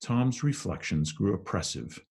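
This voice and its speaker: A very low-pitched man reads classic fiction aloud. His voice is strongly husky and rough, and he tells the story in a measured, expressive way. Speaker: reader. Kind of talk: audiobook-style narration of a classic novel.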